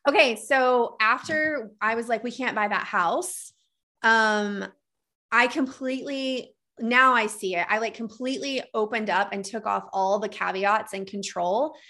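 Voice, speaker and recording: A woman in her thirties from Texas with a Minnesota accent, telling her story in a lively, casual way and acting out her own quoted lines. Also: on the high side, unhurried. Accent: Minnesota, with traces of Texas. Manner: animated, lots of "like", acts out quoted lines. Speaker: guest, trauma educator and coach.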